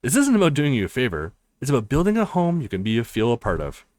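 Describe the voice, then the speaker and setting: A man voicing a kind, earnest young woman in a visual novel, speaking with warm, insistent coaxing. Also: mid-range voice, wildly swooping pitch, fast talker, no room sound, studio quality.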